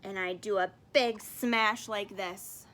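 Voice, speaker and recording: A woman's voice: medium pitch, fairly even intonation, takes it slowly, fairly bright tone. A woman reading aloud as an aggrieved, impulsive little boy with an emphatic, complaining manner. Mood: angry, complaining. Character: aggrieved, impulsive little boy sulking over his brother.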